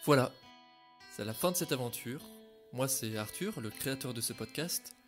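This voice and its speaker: A man telling a scripted story with expressive, dramatic delivery at a middle pitch. Music plays clearly beneath his voice. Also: normal speed. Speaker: podcast creator and narrator. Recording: music playing behind.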